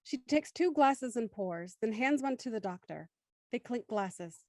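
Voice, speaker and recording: A woman's voice: fairly high pitch, lively intonation, unhurried, darkish tone, no room sound. A woman narrating a scripted audio drama as its stage-direction narrator.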